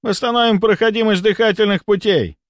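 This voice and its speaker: A man's voice: sits high, fairly quick, no echo, pitched above the performer's own voice. A man voicing an agitated male doctor who pleads urgently and insistently in an audiobook story. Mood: desperate. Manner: urgent, wailing.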